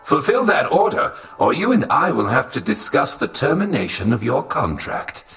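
An actor playing a cold, courteous robot royal advisor who speaks formally and with condescension. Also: male voice, mid-range voice, big pitch swings, normal speed, vocal fry, a bit bright, reverberant, distant mic, soft background music. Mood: menacing. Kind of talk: scripted audio drama acting.

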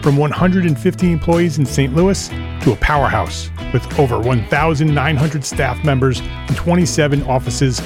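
A man's voice: fairly high pitch, normal speed, over music. A man working as a podcast host, delivering scripted introductions and interview questions with an emphatic, promotional manner.

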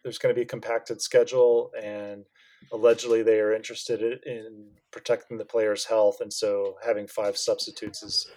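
A man's voice: on the low side, little pitch movement, darkish tone. Man explaining things in casual chat. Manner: explanatory, halting with restarts and fillers. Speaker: podcast co-host.

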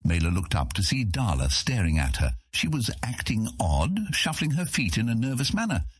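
Deep raspy guy narrating a fantasy audiobook, really dry studio sound. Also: very animated, moderate pace, no room sound.

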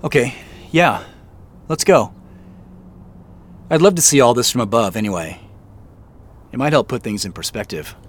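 Man acting a wry, hesitant businessman, animated pitch. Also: normal speed, pauses often, lightly acted. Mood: tentative, thoughtful. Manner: hesitant, self-deprecating, chuckles as he talks. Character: uneasy, wry businessman searching for answers. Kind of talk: naturalistic audio drama dialogue.

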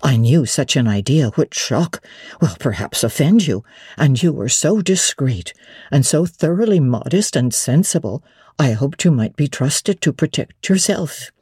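An anxious old widowed housekeeper, acted by a woman narrator, speaking in a lowered, mellow voice. She is halting, fretful and cautious, as in a dramatized classic audiobook. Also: expressive, breathy edge, no echo, clean studio sound, full character voice. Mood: gently cautioning.

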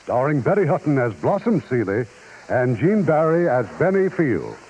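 Animated male announcer on dull old radio. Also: medium pitch, normal speed, very noisy. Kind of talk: formal 1950s radio announcing.

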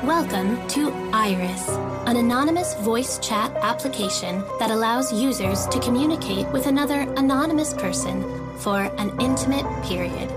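A polished, high-voiced female AI assistant played by a woman delivers a scripted sales pitch for an app in an audio drama. Loud music runs behind the voice. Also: lively intonation, moderate pace, lightly acted. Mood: polished, inviting. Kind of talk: scripted promotional narration.

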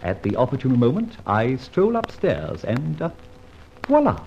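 An Australian actor playing a suave, playful gentleman thief in a 1950s radio drama, brisk and theatrical. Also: mid-range voice, wildly swooping pitch, creaky, heavily acted. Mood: scheming, playful. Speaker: lead character. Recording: dull old radio recording, faint hiss.

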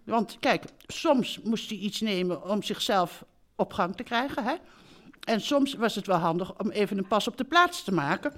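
Elderly mother, played by an actress, lively swooping intonation, doting and breezily matter-of-fact, comic stage radio play. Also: mid-range voice, normal speed, lightly acted. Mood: blithely explaining. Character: chatty, blithe elderly mother running a holiday park. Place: theatre stage before a live audience.

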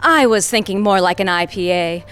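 Radio play: a woman doing a cocky, flirtatious fantasy woman, bold and loud. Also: mid-range voice, moderate pace, natural voice. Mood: flirty. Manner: brash, flirty, self-assured. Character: brash, flirty imaginary bimbo woman. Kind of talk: audio drama acting.

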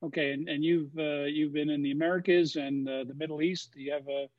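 A man hosting a question-and-answer session, speaking haltingly with many fillers, as if over a video call. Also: fairly high pitch, fairly even intonation, no echo. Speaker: host of a webinar Q&A. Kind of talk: casual moderated Q&A. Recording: dry, dull lo-fi sound.

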